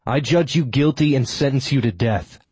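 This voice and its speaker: A man's voice: on the low side, moderate pace, darkish tone, breathy edge, dry recording. A man voicing a defiant, authoritative druid hero in a fantasy audiobook, speaking in a commanding, formal and challenging way. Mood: stern judgment.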